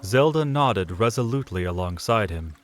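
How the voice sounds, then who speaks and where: A man narrating an audio drama in a measured storytelling manner. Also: on the low side, lively intonation, soft background music. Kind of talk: scripted narration.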